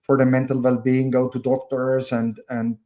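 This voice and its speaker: A man with a German accent speaks as a podcast guest at an ordinary middle pitch. He talks earnestly, circling back on his words as he thinks aloud. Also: monotone, moderate pace, dark tone. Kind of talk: casual conversation.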